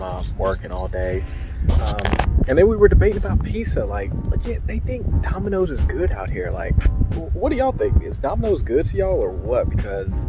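A man's voice: lowish voice, rough recording. An upbeat young man from talks straight to his camera, his easy and loose. He chats about his day and keeps urging his viewers to go out and travel. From Kentucky. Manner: upbeat, chatty, encouraging. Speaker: vlogger. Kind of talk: casual vlog talk to camera. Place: outdoors in sunny street and at beach. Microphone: handheld camera at arm's length.

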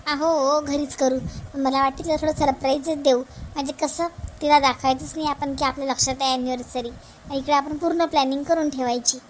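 A woman's voice: high voice, fairly even intonation, fairly quick, a little hiss or hum, close to an everyday voice. A woman voicing an eager, chatty young wife in a cartoon family comedy, explaining things. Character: eager young wife, chatty sister-in-law planning kindly.

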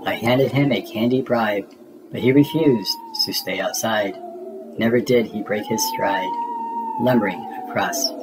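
A man reading a spooky rhyming children's story aloud in a theatrical, deliberate way, as a storybook narrator. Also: mid-range voice, huge pitch swings, heavy background noise.

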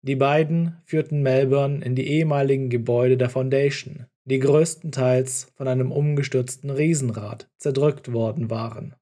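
A man's voice: mid-range voice, fairly even intonation, normal speed, few pauses, dark tone. A man reading a scripted story as an audiobook narrator.

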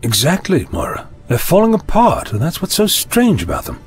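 A man's voice: a little high, wildly swooping pitch, moderate pace, music in the background. A man playing a curious, breezy, slightly fussy adventurer in an audio drama, musing and chatty.

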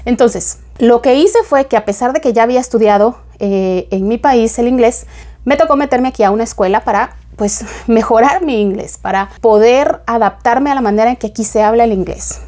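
A woman, giving casual advice to camera in a small home room on a lapel mic, lively and gesturing as she talks. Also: on the high side, moderate pace. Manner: lively, gesturing with both hands, talks straight to the viewer. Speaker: vlogger.

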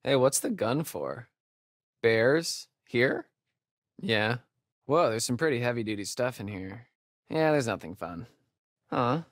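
A young American man, a sardonic summer camp counselor who loves a wisecrack. His delivery is slangy and laid-back, taking its time, with lively swings in pitch. The sound is close and dry. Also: takes it slowly, long pauses, dry recording. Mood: playful, wisecracking. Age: young adult. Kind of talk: naturalistic video game acting.

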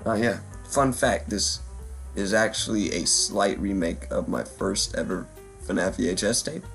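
A man's voice: on the low side, vocal fry. A man hosting a reaction video with casual, slangy commentary, joking and swearing freely. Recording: faint music behind the voice. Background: soft background music.

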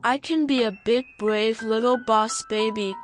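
A woman's voice: a little high, steady tone, measured pace, music in the background, natural voice. A woman voicing an eager, helpful young boy in a children's story, with an earnest, childlike manner.

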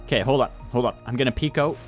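A man hosting a gaming video, chatting excitedly with friends and urgently directing them. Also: medium pitch, fast talker, soft background music. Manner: animated, urgent, directing the others. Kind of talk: excited casual gameplay banter with friends.

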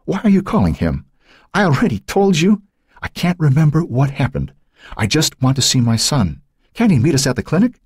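A man plays a tense, desperate mother in a thriller audiobook. The voice is tight, raised and husky, pressing insistently with pleading questions. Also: a little high, sing-song, moderate pace, a little mellow, dry recording, studio quality, pitched above the performer's own voice, heavily acted. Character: tense, insistent woman desperate to see her son.

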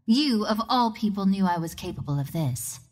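A woman's voice: mid-range voice, lively intonation, moderate pace, close to an everyday voice. A woman playing a confident, wry young female wind ranger in a video game, with a self-assured, teasing, theatrical delivery. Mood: stern, defiant. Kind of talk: video game hero voice lines.

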